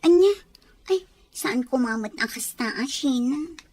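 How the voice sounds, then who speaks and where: A woman's voice: high voice, expressive, takes it slowly, darkish tone, heavily acted. A woman playing a mild-mannered schoolgirl in an Ilocano radio drama. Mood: taken aback.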